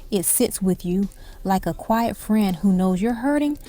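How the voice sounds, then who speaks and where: A woman's voice: mid-range voice, animated, unhurried, warm tone. A woman reading scripted music countdown narration, telling it like an evocative story in short dramatic phrases.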